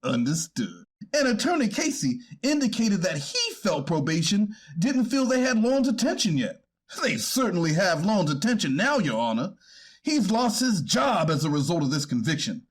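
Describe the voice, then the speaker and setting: A man playing a deferential defense attorney in a courtroom readers theater, formal and hesitant when challenged, with a husky voice. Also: high-pitched, sing-song, normal speed, exaggerated voice. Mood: earnest, pleading. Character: deferential male defense attorney pleading for his client. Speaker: actor reading a defense attorney.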